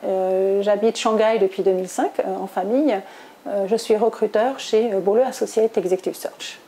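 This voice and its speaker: A woman's voice: medium pitch, fairly even intonation, normal speed, dark tone, slight background noise. A middle-aged woman from southwest France answering interview questions conversationally, with many hesitations and self-corrections. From Toulouse, southwest France. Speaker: interviewee, executive recruiter.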